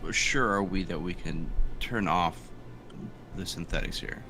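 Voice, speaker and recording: A man's voice: deep voice, unhurried, over music. A man talking casually as a player during a tabletop roleplaying session.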